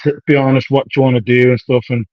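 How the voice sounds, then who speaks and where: A man's voice: medium pitch, flat delivery, quick pace, no echo. Male bodybuilder, 30s, loose slangy rambler who re-enacts dialogue; dull, dry capture. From United Kingdom. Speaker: professional bodybuilder guest. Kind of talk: casual interview chat.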